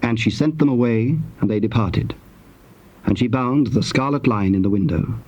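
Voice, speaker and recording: Husky male scripture narrator. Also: medium pitch, a little mellow. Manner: measured, solemn storytelling. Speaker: narrator of dramatized Bible. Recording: slightly dull tape sound.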